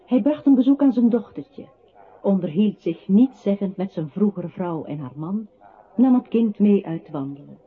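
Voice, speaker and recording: A woman acting as a narrator in a literary radio drama, with measured storytelling. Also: mid-range voice, expressive, lightly acted. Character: woman narrator telling a brooding man's story. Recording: thin phone-like sound with clear noise.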